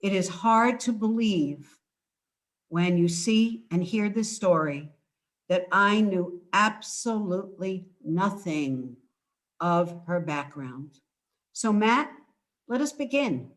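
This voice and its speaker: A woman's voice: mid-range voice, animated, slow. An older woman, an author, giving a prepared presentation as guest speaker.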